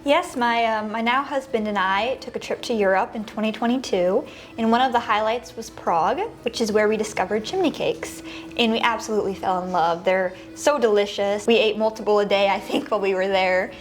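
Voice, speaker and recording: A woman's voice: mid-range voice, brisk. Cheerful woman bakery owner being interviewed. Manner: cheerful, with light laughs and fillers. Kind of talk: casual interview answers.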